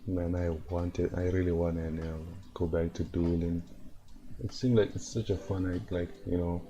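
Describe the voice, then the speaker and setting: A man streaming from home, hoarse and worn out from no sleep, chatting loosely and chuckling as he reacts to animations. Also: sits low, level tone, moderate pace, dark tone, a little hiss or hum. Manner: laid-back, rambling, chuckles often. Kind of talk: casual livestream chat and reactions.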